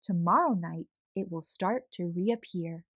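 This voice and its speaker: A woman voicing a gentle, kind aunt who calmly explains things in a storybook. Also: fairly low pitch, measured pace, no room sound, voice pushed down. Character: calm kind adult aunt.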